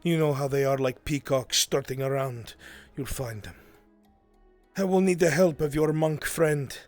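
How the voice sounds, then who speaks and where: A man in live roleplay voicing a grim, pleading man bent on revenge, speaking in solemn, deliberate, formal phrases. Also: on the high side, a little mellow, voice pushed up, close to an everyday voice. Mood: weary, urgent. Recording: music under the voice. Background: music.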